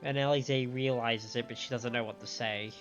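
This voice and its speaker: Male game streamer, mid-pitched, measured read-aloud and commentary, slightly muffled stream sound over music. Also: steady tone, a bit bright. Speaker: livestream host playing and reading a game story aloud. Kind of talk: live game commentary, reading dialogue aloud.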